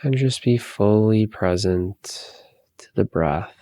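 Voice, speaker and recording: A man leading a guided meditation, giving calm, soothing, unhurried instructions with long pauses. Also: lowish voice, dry recording.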